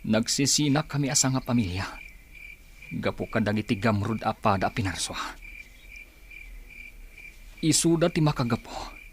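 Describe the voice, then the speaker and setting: A man's voice: mid-range voice, animated, moderate pace, pauses often, slight background noise, close to an everyday voice. Aggrieved, vengeful young man played by an actor. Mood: brooding. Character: aggrieved young man bent on avenging his family. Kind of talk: Filipino radio drama acting.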